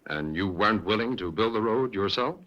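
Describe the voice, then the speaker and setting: An actor playing a calm, polite detective who asks probing questions in an old radio drama. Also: male voice, lowish voice, a little fast, lo-fi sound. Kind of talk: 1940s radio acting.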